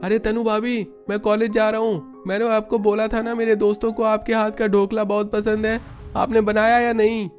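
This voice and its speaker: A man's voice: high voice, little pitch movement, normal speed, pitched above the performer's own voice, lightly acted. A man voicing a cheerful, eager college-boy brother-in-law in a cartoon story. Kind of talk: Hindi cartoon story dialogue. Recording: music behind the voice.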